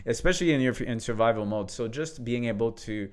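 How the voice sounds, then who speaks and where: A man with a French accent talking in a relaxed, reflective way about mindset and leadership, laughing now and then. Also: medium pitch, a little fast. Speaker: co-host, coach. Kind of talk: casual conversation.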